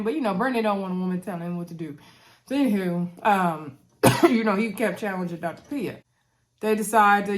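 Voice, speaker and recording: A congested woman, recapping a reality show to her viewers in a chatty, animated way, often saying "y'all". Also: mid-range voice. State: congested, coughing.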